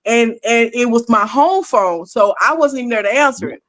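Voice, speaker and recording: Lively woman in her sixties telling stories. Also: a little high, normal speed. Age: 60s. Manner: animated, laughs often, acts out quoted voices. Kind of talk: casual storytelling chat.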